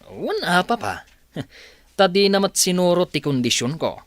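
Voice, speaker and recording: A man's voice: high voice, big pitch swings, normal speed, no room sound. A man with a Filipino accent acting a proud, evasive young boss's son in a comedy radio drama, defensive and hesitant. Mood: annoyed.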